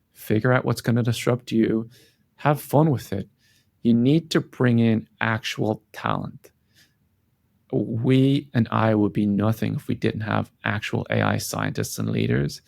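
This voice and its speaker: Gravelly, low-voiced man speaking earnestly. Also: a little mellow, dry recording. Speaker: interview guest, tech company founder and CEO. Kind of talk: conversational interview answers.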